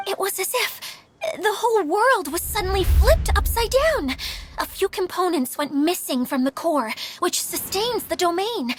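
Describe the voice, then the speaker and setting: Very high, animated, theatrical female caretaker of a magical realm, self-deprecating and easily flustered, game cutscene. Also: a little hiss or hum. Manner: theatrical, swinging from polite composure to panicked wailing. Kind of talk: video game cutscene voice acting.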